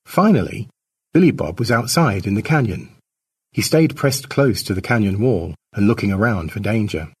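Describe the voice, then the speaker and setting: A man's voice: on the low side, lively intonation, normal speed, breathy edge. A man reading his own short story as a scripted narration.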